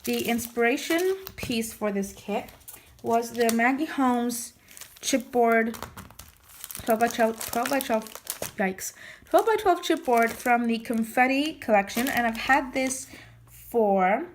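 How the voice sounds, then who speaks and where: A woman chatting casually in a vlog, her voice slightly hoarse as she gets over losing it, rambling with many restarts and fillers. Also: a little high, slow, a little hiss or hum. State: recovering from lost voice, slightly hoarse. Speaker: scrapbooking vlogger. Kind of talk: casual show-and-tell chat.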